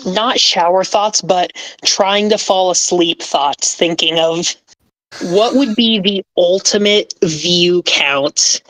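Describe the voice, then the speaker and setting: Woman, low voice, very slow pace, playful, dry close sound. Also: fairly bright tone, no echo, low-quality recording. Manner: playful, enthusiastic. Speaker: ASMR script writer on a podcast panel. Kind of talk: casual podcast chat.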